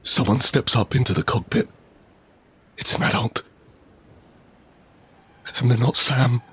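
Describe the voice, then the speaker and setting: An actor playing a desperate, vengeful father who narrates his post-apocalyptic survival in an intense, brooding, deliberate manner. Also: mid-range voice, a bit bright, breathy edge. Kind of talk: first-person scripted audio drama narration.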